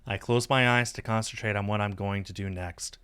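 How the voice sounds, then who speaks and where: Low-voiced man narrating a visual novel. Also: fairly even intonation, a little fast, a bit bright, clear, resonant tone. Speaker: streamer reading a game aloud. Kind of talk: expressive scripted narration.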